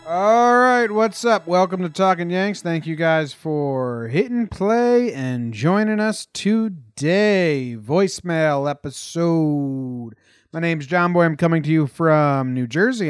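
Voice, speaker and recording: A man's voice: a little high, lively intonation, normal speed, smooth, dry recording, clean studio sound. An American man talking casually and bluntly as the host of a sports podcast, with emphatic delivery.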